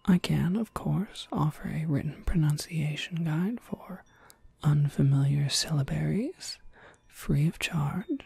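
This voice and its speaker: A woman playing a courteous, businesslike witch shopkeeper in a soft, measured ASMR whisper. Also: sits low, gravelly, husky, dry recording, full character voice. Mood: thoughtful, helpful. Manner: measured, polite, gently explanatory.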